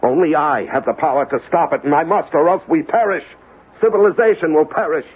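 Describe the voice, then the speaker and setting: An actor playing a desperate, idealistic investigator obsessed with coming doom, in impassioned theatrical radio drama style. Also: male voice, fairly high pitch, brisk, slightly rough. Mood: fervent, defiant. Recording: muffled, hissy old radio recording.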